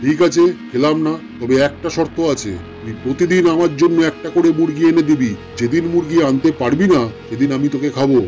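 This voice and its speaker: A man voicing a menacing, hungry lion king who talks bossily in a children's animated folk tale. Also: fairly high pitch, a little fast, faint music behind, lightly acted. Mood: demanding, pleased. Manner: bossy, threatening.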